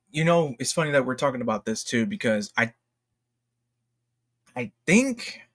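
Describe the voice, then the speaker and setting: Guy streamer just chatting, jokey n slangy, kinda trips over his words and repeats stuff, normal mid pitch. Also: fairly bright tone. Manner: self-deprecating, jokey, informal slang; halting, often repeats himself. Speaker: livestream host. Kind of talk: casual livestream chat.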